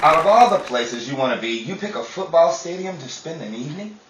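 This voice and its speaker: A man's voice: a little high, lively intonation, fairly quick, a crowd in the background, close to an everyday voice. A man playing a grumpy, sarcastic, unromantic homebody husband in a 1930s-style radio drama, with dry exasperation. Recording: dull, roomy, distant, with faint noise.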